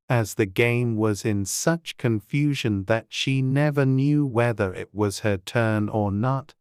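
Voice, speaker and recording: A man gives a measured, scripted storybook narration. His voice is smooth and resonant at a medium pitch, with crisp enunciation. The recording is very dry. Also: dry recording. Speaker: audiobook narrator.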